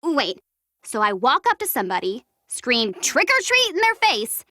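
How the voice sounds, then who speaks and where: A woman voicing a perky, excitable, incredulous young girl in a video game. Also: on the high side, moderate pace, dry recording, clean studio sound. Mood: baffled excitement. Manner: perky, exclamatory. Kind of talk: video game character lines.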